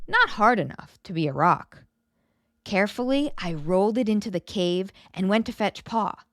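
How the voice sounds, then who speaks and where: A woman reads a children's story aloud with a very lively, swooping delivery. Her pitch sits in the middle for a woman, and the recording is dry and close, with little room sound. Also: dry recording. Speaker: children's book narrator. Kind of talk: animated read-aloud storytelling.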